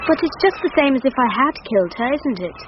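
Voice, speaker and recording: A woman's voice: sits high, sing-song, fast. An actress playing a naive, earnest, childlike teenage girl in a radio drama. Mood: guilty, subdued. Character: anxious teenage girl, childlike, guilt-ridden stepdaughter. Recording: dull lo-fi radio sound, noisy.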